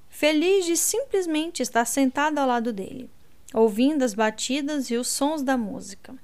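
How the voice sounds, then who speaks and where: A woman narrating a novel as an audiobook in a calm first-person reading. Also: on the high side, smooth. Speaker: audiobook reader.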